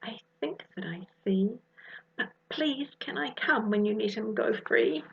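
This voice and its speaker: A woman voicing a small, anxious and earnest younger girl in a children's story, breathy and pleading. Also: mid-range voice, lively intonation, unhurried, lo-fi sound, exaggerated voice. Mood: timid pleading.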